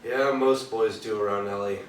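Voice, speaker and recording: An actor playing a dry, understated husband and TV star in a stage play. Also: male voice, lowish voice, fairly even intonation, normal speed, natural voice. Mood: uneasy, dry. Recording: distant, echoey stage recording, faint noise.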